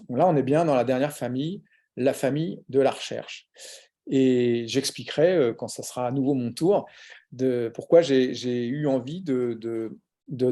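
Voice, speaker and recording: Casting: adult male, mellow warm voice, structured explanatory delivery on a panel. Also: medium pitch. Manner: explanatory, orderly, some fillers. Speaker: psychologist and lecturer. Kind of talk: panel talk about his profession.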